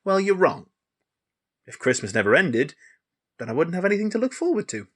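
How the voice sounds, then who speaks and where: A man's voice: sits high, big pitch swings, brisk, fairly bright tone, exaggerated voice. A man acting a lonely, childlike, overexcited friend who loves Christmas, in a theatrical, gushing comedic audio play style. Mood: insistent.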